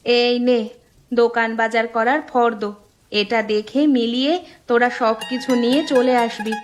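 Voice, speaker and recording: A woman's voice: a little high, level tone, normal speed, music in the background, close to an everyday voice. A woman voicing a middle-aged village mother in a cartoon, chiding and caring in a homely way. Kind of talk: cartoon dialogue acting.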